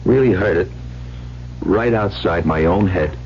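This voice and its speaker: A man's voice: deep voice, measured pace, slight background noise. An actor playing a cynical, slangy, money-hungry gambler poet who tells his own story in an old-time radio drama. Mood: weary, bitter. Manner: wisecracking, slangy, hard-boiled. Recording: muffled old radio recording.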